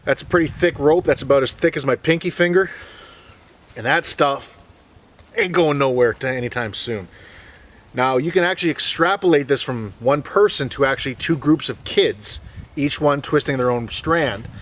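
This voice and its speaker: A man giving a relaxed, joking bushcraft lesson, explaining each step in plain words as he demonstrates. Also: medium pitch, normal speed, far from the mic. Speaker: bushcraft instructor. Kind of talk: hands-on outdoor skills demonstration.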